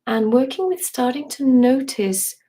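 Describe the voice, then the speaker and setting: A woman with a hoarse, partly lost voice, gently guiding a live online voice and breathing workshop, meandering with restarts and asides. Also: high voice, animated, unhurried. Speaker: somatic voice and movement teacher.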